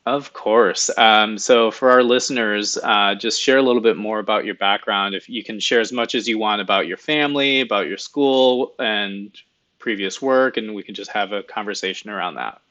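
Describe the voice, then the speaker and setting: A man's voice: fairly low pitch, moderate pace, pushed and bright. A man hosting a podcast interview, friendly and encouraging, speaking casually with some fillers. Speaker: podcast host.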